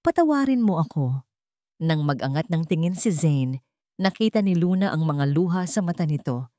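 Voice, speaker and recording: Casting: female storyteller, lowish pitch, solemn dramatic scripted narration, dry studio-like sound. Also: normal speed, dark tone, dry recording.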